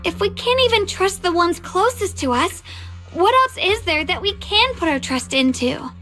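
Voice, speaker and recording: An actress voicing an earnest, trusting young swordswoman in a fantasy video game, expressive and sincere. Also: very high voice, moderate pace, faint music behind, exaggerated voice. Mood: earnest, reassuring. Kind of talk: English video game voice acting.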